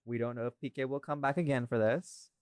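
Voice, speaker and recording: A man's voice: medium pitch, steady tone, fairly quick, a little mellow, clean tone, no echo, clean studio sound. A man hosting a casual behind-the-scenes podcast chat in a rambling, informal way. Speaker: podcast host and audio creator.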